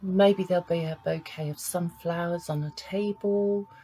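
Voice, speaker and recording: Slow, halting woman reading cards. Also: on the low side, fairly even intonation, dark tone, poor audio. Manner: halting, searching, pauses to sense impressions. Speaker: psychic medium. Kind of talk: monthly astrology and card reading to camera.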